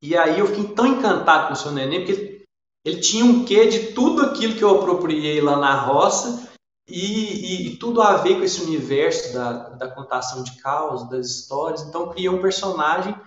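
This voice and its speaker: A man's voice: on the high side, expressive, moderate pace, lo-fi sound. Echoey shy man with a Minas Gerais accent. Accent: Minas Gerais Brazilian Portuguese. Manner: shy, meandering, folksy storytelling. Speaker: interview guest, storyteller and musician. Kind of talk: casual reminiscing interview talk.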